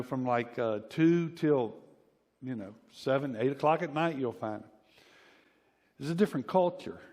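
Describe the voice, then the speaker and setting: Male preacher, middle-aged, Southern US accent, slightly high-pitched, informal storytelling delivery, long hall reverb. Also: a little mellow, husky. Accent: Southern American. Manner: folksy, informal, repeats phrases for emphasis. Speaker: pastor preaching. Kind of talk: conversational sermon with personal anecdotes. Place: church hall, preaching to a congregation.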